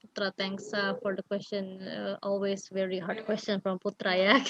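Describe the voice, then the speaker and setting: A woman's voice: medium pitch, completely flat delivery, moderate pace, fairly bright tone, highs cut off. A woman from Indonesia answering unscripted in a discussion, hesitant as she gathers her thoughts.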